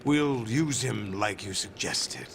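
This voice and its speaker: An actor playing a cold, commanding villain and former warlord who speaks slowly and deliberately in a video game cutscene. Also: male voice, fairly low pitch, lively intonation, vocal fry, a bit bright, a little hiss or hum, full character voice. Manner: slow, deliberate, imperious.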